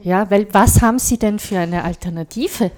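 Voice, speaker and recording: A woman's voice: medium pitch, normal speed, a little mellow. A woman with an Austrian accent in a casual political discussion, critical and emphatic, often adding short tag questions. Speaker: podcast panelist.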